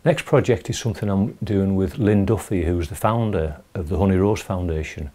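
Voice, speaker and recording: Low, mellow man. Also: normal speed. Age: middle-aged. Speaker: video maker presenting a newsletter. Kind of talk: informal talk to camera about recent projects.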